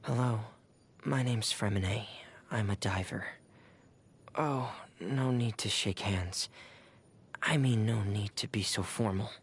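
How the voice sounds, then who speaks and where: An actor playing a shy, gloomy, gentle teenage boy diver in a video game, soft, breathy and hesitant. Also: on the low side, takes it slowly, full character voice. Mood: reserved. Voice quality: soft, breathy, near-whispered. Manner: hesitant, reserved, melancholy. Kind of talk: subdued video game character voice lines.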